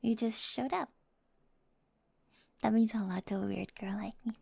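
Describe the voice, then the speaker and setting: A woman playing a quirky, nerdy high school girl with a crush, in an ASMR roleplay with awkward, eager rambling and whispery asides. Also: steady tone, unhurried, gravelly, a little mellow, slightly rough, no room sound, exaggerated voice. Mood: soft, sincere. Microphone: close microphone, dry.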